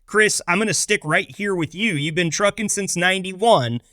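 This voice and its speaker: Bright, higher-voiced male interview host. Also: moderate pace, dry recording, studio quality. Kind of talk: casual interview chat.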